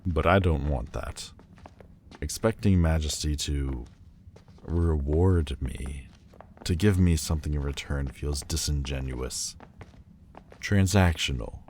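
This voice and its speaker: A man playing a proud, wounded demon lord turned mortal in a dramatic audio roleplay, speaking haughtily and theatrically with hesitant pauses. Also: a bass voice, darkish tone, a little hiss or hum. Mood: guarded, vulnerable. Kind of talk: dramatic ASMR roleplay monologue.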